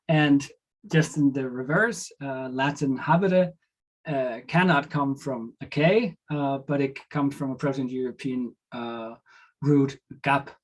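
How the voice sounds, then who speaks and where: Casting note: male researcher, Danish first language, mid-pitched voice, halting lecture delivery full of fillers. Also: low-quality recording. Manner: hesitant, many fillers and restarts. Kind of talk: academic lecture with slides.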